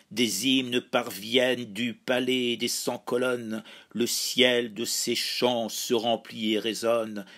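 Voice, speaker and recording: A man solemnly reciting epic verse in a measured, declamatory way, phrase by phrase. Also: medium pitch, a bit bright. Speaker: poetry reciter.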